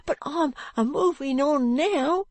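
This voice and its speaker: A woman voicing a frightened, pitiful ragged street boy with a high, cracked, tearful voice and slurred street speech. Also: slow pace, no echo. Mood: pleading.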